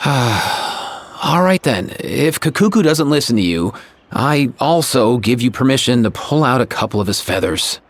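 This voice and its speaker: An actor playing a young, cheerful, trusting character in a video game, talking casually. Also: male voice, medium pitch, unhurried. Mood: amused. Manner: casual, animated.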